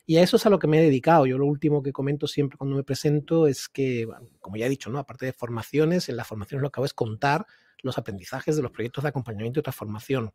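Middle-aged man, Spain accent, husky voice, dry close sound, chatty reflective guest. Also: mid-range voice, fast, warm tone, no echo. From Seville, Spain. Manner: chatty, digressive, tag questions. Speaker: podcast guest, agile consultant. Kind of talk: casual reflective interview talk. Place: indoor room, video call. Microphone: large microphone close to mouth, earphones.

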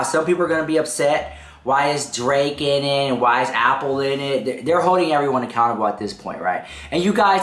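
A man's voice: on the high side, fairly bright tone. A young man with a head cold talks straight to camera, his voice stuffed up and congested. He chatters sassily through celebrity gossip, calling his viewers "girl" again and again. The room has a noticeable echo around him. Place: indoor room, seated on a throne-like chair.

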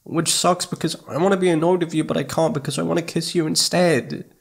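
A man's voice: on the high side, steady tone, a little fast, vocal fry, husky. A man playing a needy, playful young boyfriend in intimate ASMR roleplay, pouty and sweet, stammering when flustered.

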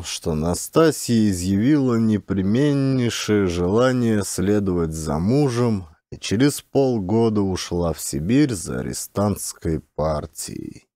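A male actor narrates a literary audiobook dramatically. His voice is lowish and mellow with lively intonation, recorded very dry and close. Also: no echo.

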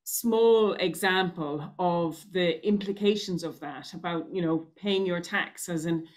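A woman's voice: fairly low pitch, a little slow. A woman in her thirties moderating an online panel, wrapping up with hesitant speech full of ums and restarts over a video call. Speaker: host and moderator. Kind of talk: closing remarks of a panel.

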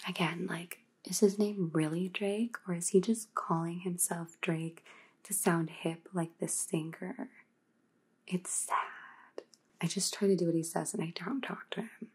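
A woman's voice: on the low side, steady tone, a little slow, a little mellow, slightly rough, full character voice. A woman playing a snarky, dismissive modern young vampire, casual and sarcastic, in a whispered ASMR roleplay.

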